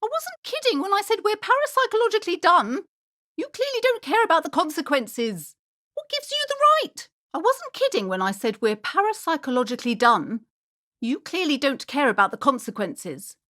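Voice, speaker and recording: Fussy middle-aged bookshop lady from a video game, high pitched n very animated, prim, snooty, superstitious. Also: normal speed, full character voice. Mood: haughty, scolding. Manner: haughty, prim, indignant, dramatically emphatic. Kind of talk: theatrical video game character acting.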